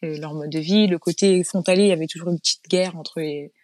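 A woman's voice: lowish voice, steady tone, fast, darkish tone, no room sound. A woman from France, a guest in a casual interview, telling her story frankly in a chatty way with frequent restarts. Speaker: guest, business owner.

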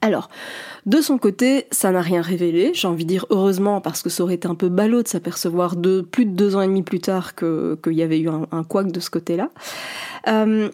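A woman's voice: lowish voice, brisk, mellow. A woman in her forties, a fertility coach speaking alone on a podcast, talking straight to the listener in an earnest, reassuring way. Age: 40s. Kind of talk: solo podcast monologue.

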